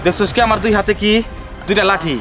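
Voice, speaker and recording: Man as a high-voiced bullying big brother. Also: fairly even intonation, fast, a crowd in the background, close to an everyday voice. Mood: threatening. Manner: threatening, swaggering. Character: tall, domineering big brother, a menacing bully. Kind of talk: cartoon voice acting. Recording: music behind the voice.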